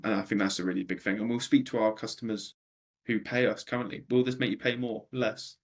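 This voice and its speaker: Deep gravelly-voiced young man. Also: fairly even intonation, brisk. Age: 20s. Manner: conversational, frequent ums and restarts. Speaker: startup co-founder, guest. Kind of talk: casual interview answers.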